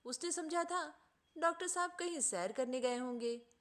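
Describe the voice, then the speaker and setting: A woman reading a literary short story aloud as an expressive audiobook narrator. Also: sits high, moderate pace.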